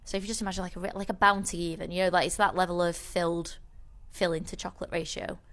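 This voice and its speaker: A woman's voice: on the low side, level tone, fast talker, a bit bright. A woman co-hosting a podcast, telling a rambling story in casual chat, with many restarts. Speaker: podcast co-host.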